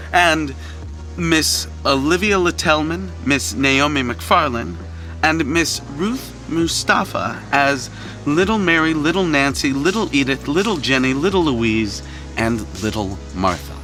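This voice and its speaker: A man, the director and producer, reading scripted closing credits in a measured, formal way with playful touches. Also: mid-range voice, bright, forceful tone, low-quality recording.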